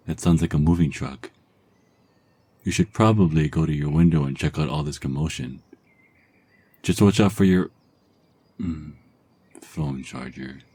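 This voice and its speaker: A man narrating a second-person ASMR story in a calm, soft-spoken, husky voice. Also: rumbling low.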